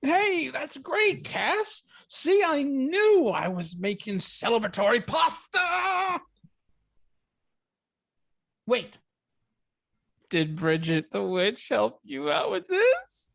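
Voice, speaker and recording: Woman reading as animated, easygoing supportive partner. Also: sits high, slow pace, creaky, pauses often, exaggerated voice. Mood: delighted. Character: supportive, easygoing live-in partner named Todd, a man. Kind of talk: table read of a film script.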